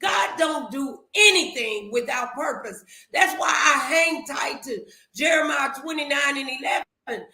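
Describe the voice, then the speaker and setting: A woman's voice: high-pitched, animated, a little slow, gravelly, sharp tone, roomy sound, raised voice. A woman giving an emphatic, preachy faith-based motivational talk, repeating herself as she drives points home.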